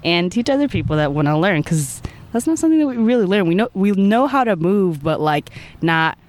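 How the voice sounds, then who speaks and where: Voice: mid-range voice, big pitch swings, fairly quick. A person in their twenties, a podcast guest talking casually and rambling, with lots of "like" and "I feel like" and frequent little laughs. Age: 20s. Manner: rambling, many "like" and "I feel like" fillers, laughs often. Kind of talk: casual interview chat.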